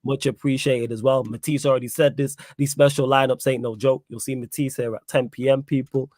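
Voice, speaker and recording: A young man hosting a casual live stream, giving shout-outs and reading out chat messages. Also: mid-range voice, flat delivery, fairly quick, dry recording. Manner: hypes viewers, reads chat messages aloud. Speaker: host.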